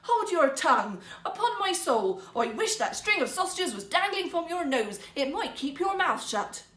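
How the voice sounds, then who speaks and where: A woman's voice: very high voice, big pitch swings, normal speed, a bit bright, pitched above the performer's own voice, heavily acted. A woman voicing a poor woodcutter husband in a fairytale, earnest, emphatic, teasing and easily riled. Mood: angry. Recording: roomy, echoing sound.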